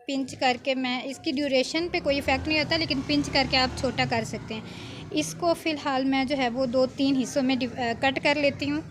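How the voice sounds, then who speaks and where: A woman giving an informal software tutorial, explaining step by step with frequent check-in tags. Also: high voice, steady tone, moderate pace, background noise. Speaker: video editing instructor.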